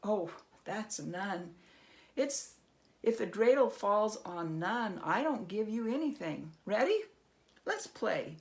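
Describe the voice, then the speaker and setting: Woman playing a sly, unhurried trickster man, creaky voice. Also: mid-range voice, lively intonation. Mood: instructive, sly. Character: sly, unhurried man outwitting a goblin at dreidel. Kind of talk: storybook character voice.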